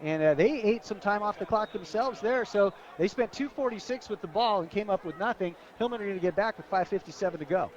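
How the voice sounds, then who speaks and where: An American man gives conversational football analysis at a quick clip. His voice sits fairly high. It comes over a somewhat dull, lo-fi radio broadcast from an outdoor stadium. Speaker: radio colour commentator.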